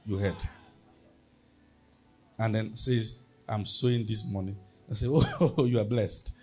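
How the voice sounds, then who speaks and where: A man's voice: lowish voice, slow, darkish tone, breathy edge, soft background music. A man with a Nigerian accent preaching a sermon as pastor, emphatic and leading the congregation in call-and-response.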